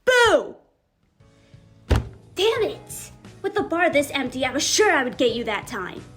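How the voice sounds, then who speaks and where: A woman's voice: high-pitched, very animated, unhurried, fairly bright tone, faint music behind, heavily acted. A woman voicing a playful, flustered, defensive prankster in scripted character acting, huffy and stammering.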